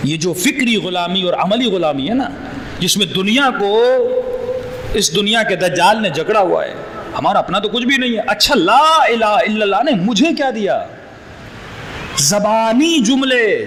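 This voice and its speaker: A man preaches with passion in a high, bright voice. He fires off rhetorical questions and acts out both sides of a quarrel. His voice rings in a long hall reverb over faint noise. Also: animated, normal speed. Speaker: Shia cleric. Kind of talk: sermon.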